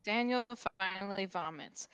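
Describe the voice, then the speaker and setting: A woman's voice: mid-range voice, expressive, normal speed, a bit bright, clean tone, dull audio. A woman reading scripted stage directions aloud at a table read.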